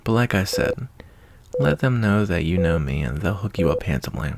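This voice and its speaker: A man acts out a kindly wasteland doctor for an ASMR roleplay, in a low voice with a gravelly fry. He is soft-spoken, folksy and reassuring, and his tone moves around with easy expression. Loud noise runs behind him. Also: sing-song, normal speed, exaggerated voice. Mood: gentle, folksy.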